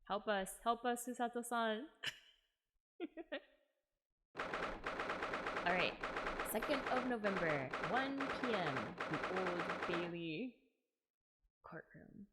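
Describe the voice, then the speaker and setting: Slow-talking chatty woman streamer. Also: mid-range voice, animated, very noisy. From Texas. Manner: chatty, giggly, full of "like" fillers. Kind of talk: casual game-stream chat and reading aloud. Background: game music.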